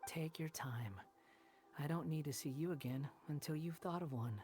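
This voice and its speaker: A man's voice: on the high side, moderate pace, darkish tone, faint music behind, natural voice. A man playing a brooding, sardonic young wanderer in a video game, curt and aloof. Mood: impatient, aloof.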